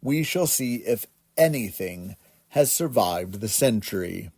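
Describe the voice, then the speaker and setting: A man puts on an elderly hedgehog matriarch from a bedtime tale, stern and full of opinions. She declaims slowly and haughtily in a mellow tone. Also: fairly low pitch, expressive, slightly rough, no echo, exaggerated voice. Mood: haughty disapproval. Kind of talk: children's storybook character voice.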